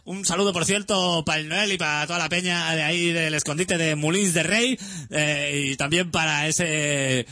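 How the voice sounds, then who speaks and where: A young man the chats on a music radio show, filling gaps with "eh" and restarting sentences. His voice sits a little high and sounds bright and forceful. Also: steady tone, normal speed, dry recording. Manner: hesitant, full of "eh" fillers and restarts. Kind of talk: casual rambling radio chat.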